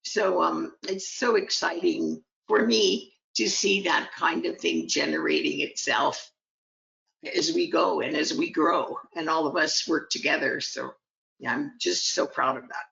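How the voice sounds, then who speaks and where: A woman's voice: sits low, fairly even intonation, unhurried, vocal fry, a bit bright, dull audio. A woman teacher being interviewed, speaking proudly and heartfelt about her students. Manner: proud, heartfelt, with some restarts. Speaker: guest, gemology teacher. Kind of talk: conversational interview.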